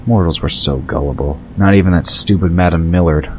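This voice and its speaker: A man's voice: low-pitched, a little fast, a little mellow, slight background noise, rough recording. A man reading scripted narration for an audio drama.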